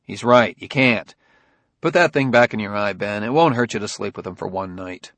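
A man voicing a gruff, impatient detective father with a curt, commanding manner in a science fiction audiobook. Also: lowish voice, normal speed, a bit bright, no room sound. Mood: firm.

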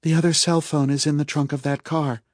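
A man voicing a calm, formal female artificial intelligence in an audiobook, speaking in a measured, precise way. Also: fairly high pitch, dry recording, studio quality, voice pushed up, lightly acted. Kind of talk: audiobook character voice.

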